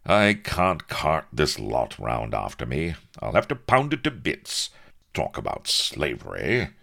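Storybook pirate captain, acted by a male narrator: dashing, eager, chivalrous, hearty archaic flourishes, very animated intonation. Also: a bass voice, unhurried, creaky, full character voice. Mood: exasperated.

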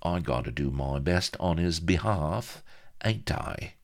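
A man voicing an oily, smirking fixer in an audiobook, smooth, slangy and ingratiating. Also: deep voice, lively intonation, slow, pitched above the performer's own voice, exaggerated voice. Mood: indignant, virtuous. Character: oily, smirking, wheedling male fixer and blackmailer.

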